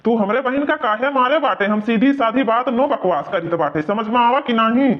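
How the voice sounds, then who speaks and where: A blustering, protective village brother from a cartoon comedy acted by a man, speaking with an Awadhi accent. His voice sits extremely high for a man, and the recording is dull and lo-fi. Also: expressive, normal speed. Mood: threatening.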